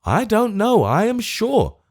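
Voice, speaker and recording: A man's voice: high voice, huge pitch swings, slow pace, darkish tone, pitched above the performer's own voice, heavily acted. A man voicing a testy, fussy, self-important Lord Mayor. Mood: testy, fretful. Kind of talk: audiobook character voice.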